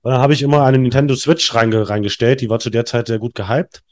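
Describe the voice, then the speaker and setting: A man's voice: medium pitch, fast. A man in his thirties, a podcast guest telling candid stories in a rambling way with lots of fillers and restarts. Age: 30s. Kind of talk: candid conversational storytelling.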